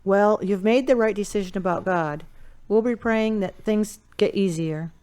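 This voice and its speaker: A woman playing a supportive, devout older sister, expressive and encouraging, in an audio drama phone chat. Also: medium pitch, moderate pace, clear, resonant tone, close to an everyday voice. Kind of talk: hobby audio drama acting.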